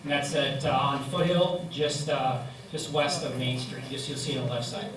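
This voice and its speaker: A man's voice: mid-range voice, fairly even intonation, normal speed. A man moderating a community panel, making brief, courteous and informal remarks to the audience. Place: community room with large audience. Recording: very echoey room, distant mic, loud crowd.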